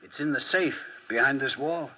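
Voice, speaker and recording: A man playing a clever, self-assured French detective in a 1940s radio drama, with a theatrical, drily ironic, deliberate delivery. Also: medium pitch, natural voice. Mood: self-assured, emphatic. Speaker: actor playing detective and narrator. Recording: muffled 1940s radio recording.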